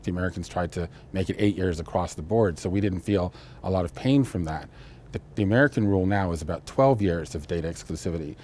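An older man giving measured, explanatory analysis as an expert in a studio interview. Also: deep voice, darkish tone, a little hiss or hum. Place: indoor studio, seated interview.

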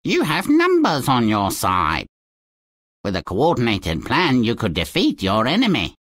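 A woman's voice: extremely low pitch, wildly swooping pitch, unhurried, a bit bright, no room sound, clean studio sound, exaggerated voice. A woman voicing a tiny, put-upon fairy-tale hero in a video game, droll and complaining. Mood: disgusted, urging. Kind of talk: video game character acting.

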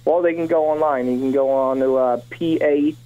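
Mellow-voiced man calling into radio. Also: a little high, steady tone, lo-fi sound. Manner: earnest, measured, with frequent fillers. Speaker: guest, veterans memorial organizer. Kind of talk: radio interview.

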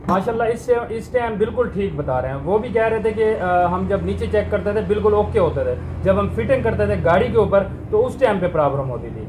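A man's voice: sits high, expressive, fairly quick, hardly any pauses, noisy background. A man in his thirties, a truck mechanic, explaining a brake valve repair step by step in a workshop and often checking in with the viewer. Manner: explanatory, repeats "theek hai" to check in with the viewer; uses frequent Islamic phrases like inshallah and mashallah. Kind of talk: hands-on repair tutorial.

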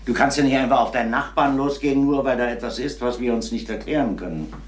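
An actor playing a calm, fatherly middle-aged police inspector in a radio crime play, measured and reassuring. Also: medium pitch, reverberant, lightly acted. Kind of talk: German radio crime drama acting.

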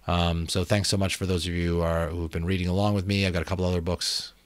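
Low-voiced man reading dramatically. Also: fast, no echo. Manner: expressive, emphatic storytelling. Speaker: audiobook reader and channel host. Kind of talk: dramatic reading of an adventure novel. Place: small room at home with bookshelves. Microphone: close desk microphone.

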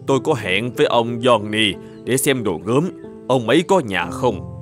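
A man's voice: mid-range voice, animated, moderate pace, over music, close to an everyday voice. A man voicing a kind, honest middle-aged travelling merchant in a cartoon folk tale, polite and earnest. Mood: calm, resigned. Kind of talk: animated folk tale dubbing.

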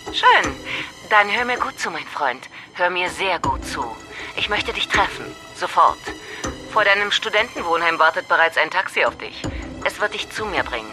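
An actress playing a mysterious woman caller in an audio drama, coaxing and commanding in an insistent, deliberate way. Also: on the low side, animated, music in the background, natural voice. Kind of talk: German audio drama acting.

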